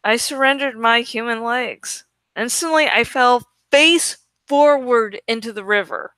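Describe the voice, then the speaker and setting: Woman doing an expressive audiobook read, bright voice, takes it slow, dry close sound. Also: on the high side, smooth, no room sound. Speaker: amateur audiobook reader. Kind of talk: expressive first-person story reading.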